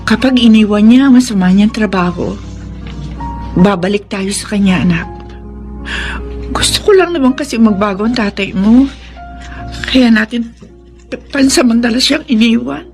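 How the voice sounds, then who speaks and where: Pleading young wife and mother played by a woman, high voice, emotional radio-drama delivery, music behind. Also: a little mellow, close to an everyday voice. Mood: gentle, sorrowful. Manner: emotional, tearful, drawn-out delivery. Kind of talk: Filipino radio drama acting.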